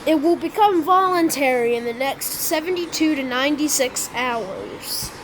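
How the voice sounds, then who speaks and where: A woman's voice: high-pitched, expressive, moderate pace. A woman voicing an emergency alert announcer, reading out an improvised warning with frequent restarts and self-corrections over noisy game audio. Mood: urgent.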